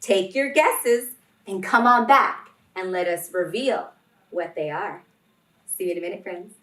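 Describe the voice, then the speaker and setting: A woman hosting a children's read-along, reading expressively and addressing young listeners deliberately as friends. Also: medium pitch, a bit bright. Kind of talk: expressive picture-book read-aloud and talk to young children. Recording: roomy, distant microphone, music behind.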